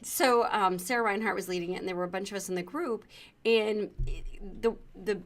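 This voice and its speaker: A woman's voice: medium pitch, moderate pace. A woman co-hosting a show, talking casually and conversationally as she recommends books. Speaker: co-host of a book recommendation show. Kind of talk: casual conversational book chat.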